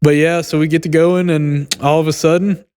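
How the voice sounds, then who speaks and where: A man's voice: fairly high pitch, little pitch movement, moderate pace, a little mellow, clean tone. A young adult man co-hosting a podcast, telling a story casually in an easygoing, chuckly way with folksy slang.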